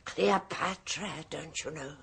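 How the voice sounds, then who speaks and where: A woman's voice: low-pitched, slow pace, creaky, a bit bright, slightly rough, full character voice. An actress playing a timid, genteel woman, the meeker sister, in a radio play. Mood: fluttery. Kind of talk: 1970s radio drama acting.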